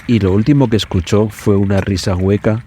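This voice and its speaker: Low, mellow male horror narrator. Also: steady tone, brisk, slight background noise. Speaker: story narrator.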